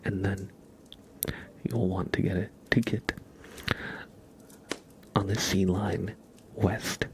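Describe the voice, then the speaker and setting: A man voicing a calm, polite train intercom announcer with a nasal tone, in an ASMR roleplay. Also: low-pitched, takes it slowly, long pauses, a little hiss or hum. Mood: calm announcing.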